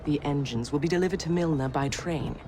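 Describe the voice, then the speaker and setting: A woman's voice: sits low, a little fast, noisy background, lightly acted. A woman voicing a shrewd, poised, scheming businesswoman in a game, with an arch, genteel manner. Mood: pleased, businesslike. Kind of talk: game cutscene dialogue.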